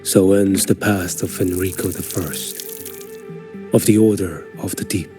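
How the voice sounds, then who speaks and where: Low, formal arbiter of fate, measured delivery. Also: male voice, fairly even intonation, warm tone, soft background music. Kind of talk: video game voice acting.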